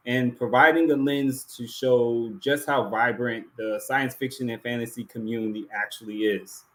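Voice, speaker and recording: A man with a mid-range voice speaks as the host of an awards show. He delivers prepared closing remarks to his audience with earnest, deliberate phrasing, repeating key words for emphasis. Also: medium pitch, poor audio.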